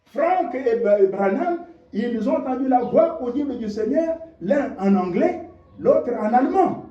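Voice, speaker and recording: A high, very animated male voice, preaching with fire and swinging between hushed lines and shouted rhetorical questions. His tone is warm and mellow. The recording is dull and lo-fi, picked up from a distance in an echoey church hall. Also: a little slow. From DR Congo. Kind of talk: sermon.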